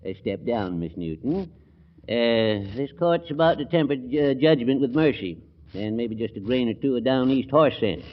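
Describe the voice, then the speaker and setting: A man's voice: mid-range voice, animated, normal speed, close to an everyday voice. A man playing a blustering small-town judge, swinging wildly. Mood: exasperated scolding. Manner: folksy, blustering, stammering. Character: crusty, excitable small-town male judge. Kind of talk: 1940s radio comedy acting. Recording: dull lo-fi 1940s radio recording.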